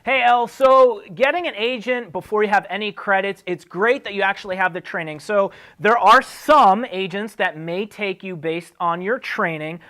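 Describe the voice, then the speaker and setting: A man's voice: high-pitched, sharp tone. A man hosting an advice show, explaining things in a casual, conversational way. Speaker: host answering acting career questions. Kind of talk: casual advice talk to camera.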